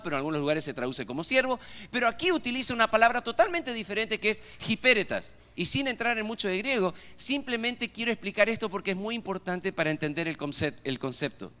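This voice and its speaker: A man's voice: sits high, sharp tone. A man with an Argentine accent preaching a sermon as pastor, often putting rhetorical questions to the congregation. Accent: Argentine Spanish. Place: church hall. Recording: reverberant church hall.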